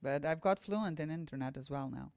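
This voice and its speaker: A woman's voice: deep voice, brisk, darkish tone. A woman with an Albanian accent, a native Albanian speaker, giving a public talk and poetry reading as a guest poet. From Kosovo. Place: room with a live audience.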